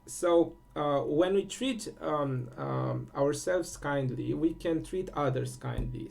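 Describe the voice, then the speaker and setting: A man from Romania speaking English with a Romanian accent, giving a casual spiritual talk full of fillers, restarts and pauses. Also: mid-range voice, creaky, a little mellow. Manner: halting, many fillers and restarts. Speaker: host.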